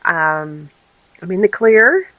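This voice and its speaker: A woman hosting a casual vlog, her voice raspy and tired, rambling with many fillers and restarts. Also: lowish voice, big pitch swings, slow, a bit bright, dry recording, dull audio. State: tired, recovering from illness. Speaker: vlog host and small craft business owner. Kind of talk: casual chatty vlog update.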